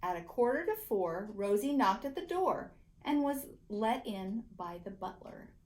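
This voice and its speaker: A woman reading a children's picture book aloud with a theatrical, deliberate delivery. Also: medium pitch, big pitch swings, darkish tone. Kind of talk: expressive children's story narration.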